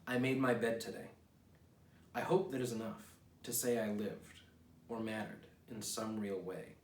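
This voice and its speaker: An American man in his thirties talking casually as a writer presenting his own work, rambling in a wry, self-deprecating manner. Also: mid-range voice. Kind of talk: casual rambling vlog with poem readings. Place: small home office, indoors. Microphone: camera mic a few feet away. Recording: roomy echo, distant mic, clear noise.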